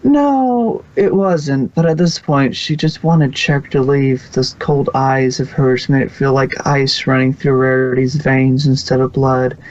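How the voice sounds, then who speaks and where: A man's voice: medium pitch, steady tone, measured pace, hardly any pauses, darkish tone. A man reading fan fiction aloud as a scripted story narrator, sometimes stumbling and rereading words. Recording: slightly muffled recording.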